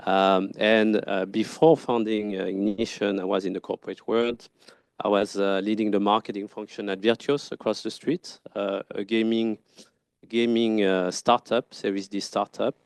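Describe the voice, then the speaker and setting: French accent guy, guest speaker, lowish smooth voice, polite, lots of uh pauses. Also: level tone, no echo. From France. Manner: polite, frequent filler pauses. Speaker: guest speaker, branding firm founder. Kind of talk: conference talk. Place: event stage.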